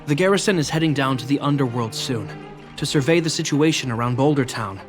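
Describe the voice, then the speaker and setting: Actor as earnest, reserved young guard captain. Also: male voice, mid-range voice, animated, brisk, over music, close to an everyday voice. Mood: awkward, sincere. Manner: formal, reserved, sincere. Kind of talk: video game voice acting.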